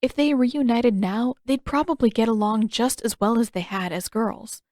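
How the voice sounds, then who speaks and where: A woman's voice: fairly high pitch, normal speed, a little mellow, dry recording. Woman narrating fiction, very dry sound. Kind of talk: scripted audiobook narration.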